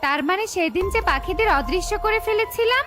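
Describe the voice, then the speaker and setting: A woman's voice: very high voice, animated, fairly quick, music in the background. A woman voicing a scheming, gloating wicked forest witch in a children's cartoon. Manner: gloating, menacing.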